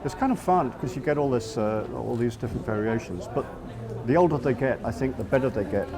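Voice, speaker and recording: A man's voice: medium pitch, expressive, fairly quick, music in the background. A middle-aged man from England with a southeast English accent, an artist speaking casually in an interview, hesitant with many fillers and restarts. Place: outdoors in a town.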